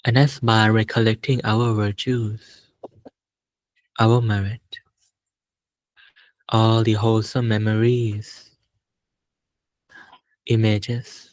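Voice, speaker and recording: Slow, low-voiced man guiding meditation. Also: no echo, rough recording. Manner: calm, unhurried, with long pauses between short phrases. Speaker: meditation teacher. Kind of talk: guided meditation instruction.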